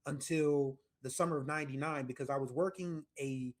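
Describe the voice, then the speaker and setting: A man's voice: fairly high pitch, fairly quick, darkish tone. A man in his forties from the United States, hosting a music show and rambling through casual commentary with asides and self-corrections. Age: 40s. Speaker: music podcast host.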